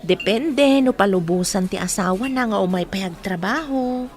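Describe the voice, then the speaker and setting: Actress as patient Ilocano elder sister, medium-pitched adult voice, radio drama. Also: female voice, moderate pace, a little mellow, faint music behind, natural voice. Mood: patient, evasive.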